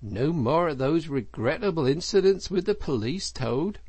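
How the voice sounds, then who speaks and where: A storybook rat, voiced by a man, slightly raised in pitch with lively swings. He is dry and knowing, a firm friend who coaxes kindly. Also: moderate pace, breathy edge, no room sound. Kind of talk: storybook character voice.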